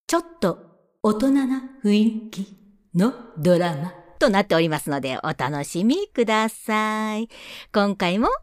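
A woman's voice: medium pitch, no echo, studio quality. A woman hosting a radio show, chatting politely with playful exclamations as she introduces a drama.

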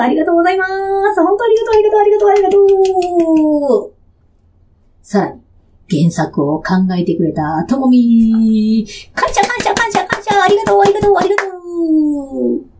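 A woman's voice: a little high, dark tone, a little hiss or hum. A woman hosting a radio show in a chatty, playful and giggly way.

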